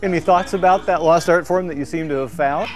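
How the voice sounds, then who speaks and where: A man's voice: on the high side, brisk, faint music behind. A man hosting a conversational television interview as the interviewer. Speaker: interviewer, show host.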